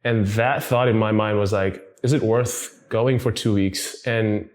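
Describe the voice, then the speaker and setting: Reflective young man, low voice. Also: fairly even intonation, measured pace. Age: 20s. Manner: reflective, uses "like" and "you know". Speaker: podcast guest. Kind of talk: casual podcast chat.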